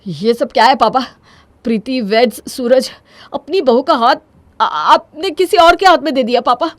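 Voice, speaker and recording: A woman's voice: high voice, measured pace, gravelly, darkish tone, dry recording, voice pushed up. A woman voicing a proud, distraught young husband in an emphatic, melodramatic story performance. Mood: desperate pleading.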